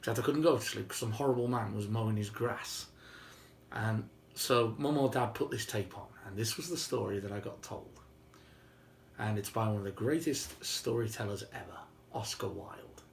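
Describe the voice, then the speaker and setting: A man telling a story informally and whimsically, with dramatic pauses and emphasis. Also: lowish voice, creaky. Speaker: storyteller and video host. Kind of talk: informal improvised oral storytelling. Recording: roomy, faint background noise.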